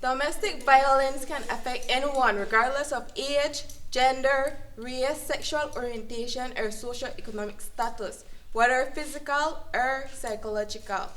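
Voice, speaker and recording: A woman's voice: high voice, a bit bright, a little hiss or hum. A woman reading a scripted public awareness narration, recorded a little away from the mic.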